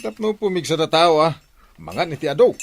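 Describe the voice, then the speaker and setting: Man acting a brash, high-pitched village husband. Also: sing-song, fairly quick, gravelly, slight background noise. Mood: smug. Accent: Ilocano. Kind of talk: Ilocano radio comedy drama acting.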